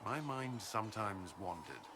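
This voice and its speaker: A man's voice: lowish voice, animated, noisy background. An actor playing an earnest, dutiful military commander in a fantasy video game, a little hesitant in his speech. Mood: reflective, sincere. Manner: hesitant, halting when flustered.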